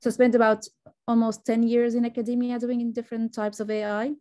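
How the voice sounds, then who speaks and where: A woman's voice: on the high side, level tone, brisk, warm tone, dry recording, clean studio sound. A woman from Syria introducing herself informally as a panelist, with light laughs as she talks. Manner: laughs lightly while talking. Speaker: panelist, AI startup founder.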